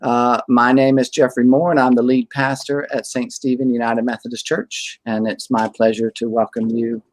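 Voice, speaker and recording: A man's voice: mid-range voice, no echo, poor audio. A middle-aged man, the lead pastor, hosts a panel discussion with courteous welcomes. He speaks over a webcam on a video call, and his voice sounds dry and close.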